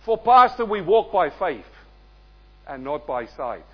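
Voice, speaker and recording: A male guest preacher delivering a sermon and prayer, quizzing the congregation and swelling loud in prayer before dropping soft. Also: high voice, sing-song, unhurried, breathy edge, lo-fi sound. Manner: calls out questions to the congregation.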